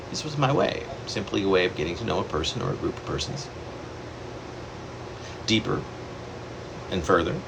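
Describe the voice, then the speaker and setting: A man reading his own long poem aloud in a wandering, self-correcting way, with sudden leaps in pitch. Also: background noise. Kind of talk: poetry reading.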